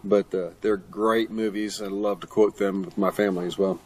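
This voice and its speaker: A man's voice: fairly low pitch, level tone, fairly quick. An older man answering questions as a guest author in a conversational interview. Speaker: guest author, retired pastor and teacher.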